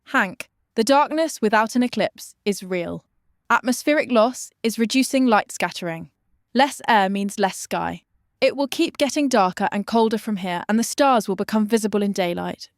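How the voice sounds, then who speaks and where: In a close, dry recording, a woman plays a scientist on an end-of-the-world broadcast. Her medium-pitched voice is measured and precise, in the style of scripted radio drama. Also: no room sound, lightly acted. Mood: sober.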